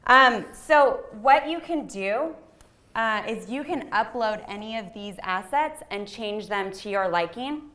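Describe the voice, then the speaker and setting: A woman's voice: fairly high pitch, expressive, measured pace. Chatty woman presenter in an echoey room. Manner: chatty, frequent ums, loud voice. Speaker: workshop presenter. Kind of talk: live training presentation. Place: meeting room with a live audience. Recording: roomy echoey hall sound.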